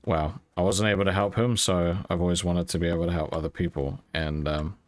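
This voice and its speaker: Young adult male, lowish pitch, candid off-the-cuff gamer storyteller, very dry recording. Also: little pitch movement, fairly quick, no echo. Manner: candid, informal, swears casually. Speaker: YouTube content creator. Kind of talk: casual rambling storytelling over gameplay.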